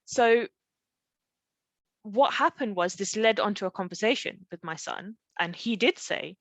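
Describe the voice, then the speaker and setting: A woman in middle age teaching in a conversational webinar style, with a dry, close sound free of room echo. She explains step by step through personal stories and often stops to check that listeners follow. Her pitch sits in an ordinary female range. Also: measured pace, a bit bright. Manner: explanatory, often checking in with "okay?" and rhetorical questions. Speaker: parenting coach leading a live online session. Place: live online group session.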